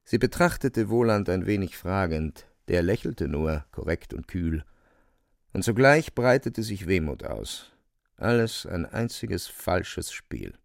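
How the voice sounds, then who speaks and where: A man narrating a literary radio play in a measured, deliberate storytelling manner. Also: low-pitched, big pitch swings, vocal fry, dry recording, clean studio sound.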